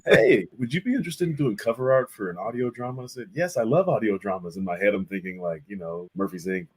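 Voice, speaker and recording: A man in his thirties with a low, slightly husky voice. He chats as a guest in a casual interview, spinning enthusiastic, meandering stories. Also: fast, darkish tone. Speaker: guest artist and art teacher.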